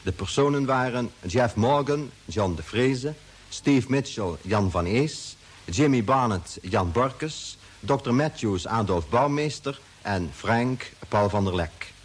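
A male announcer presents radio drama credits in a formal, scripted style. His pitch is average for a man and his voice is somewhat husky. He speaks at a very measured, slow pace. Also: animated.

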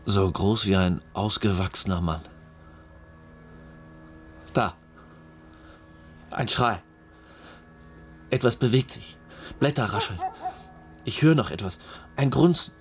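A confiding man with a low, lively-pitched voice. He tells memories in short, suspenseful phrases, in intimate radio play style. Music runs behind his words. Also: full character voice. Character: man intimately recalling harsh childhood and night adventure. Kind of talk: intimate radio drama storytelling.

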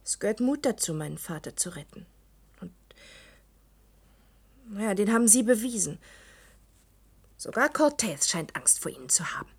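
Earnest, animated young woman in radio drama. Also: medium pitch, moderate pace, long pauses, slightly rough. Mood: earnest, proud. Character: earnest, determined daughter of a vanished scientist. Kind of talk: German science-fiction radio play acting.

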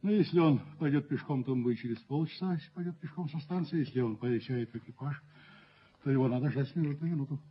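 A man playing a stern, self-important, miserly landlord and father in a radio play, speaking in a pompous, deliberate way. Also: mid-range voice, breathy edge, natural voice. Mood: evasive, measured. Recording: dull 1970s radio drama recording.

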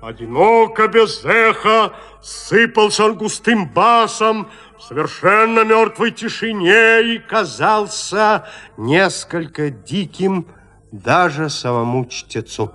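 A man narrating a literary audio drama in a measured, suspenseful manner with deliberate pauses. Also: sits high, animated, sharp tone. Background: music.

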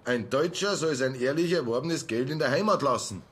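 An actor playing a stern, self-important hotelier in a radio play, lecturing formally. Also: male voice, mid-range voice, fairly quick, lightly acted.

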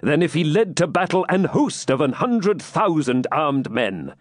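A man's voice: a little high, sing-song, unhurried, no room sound, studio quality, voice pushed up. A man voicing a proud, hearty warrior king in a dramatic storybook reading, with grand, commanding, archaic speech.

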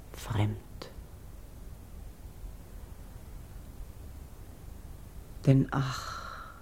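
An actress playing a noble, devout exiled priestess in grief, delivering classical radio verse in a solemn, declamatory, measured way, at times hushed. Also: female voice, low voice, vocal fry, slight background noise, exaggerated voice. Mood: yearning, sorrowful.

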